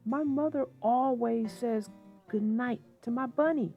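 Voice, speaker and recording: A woman voicing a polite, soft-hearted young bear cub in a children's storybook. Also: on the high side, a little slow, pitched above the performer's own voice. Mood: shy. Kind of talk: children's storybook character reading. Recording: dull lo-fi sound, guitar music.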